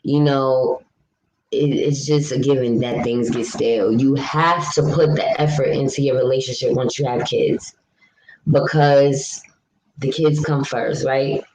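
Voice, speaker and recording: A young woman from New York with a deep voice, chatting casually with viewers on a livestream in a frank, talkative way. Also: fairly even intonation, measured pace, darkish tone, rough recording. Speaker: livestream vlogger. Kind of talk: casual live chat, answering viewer comments.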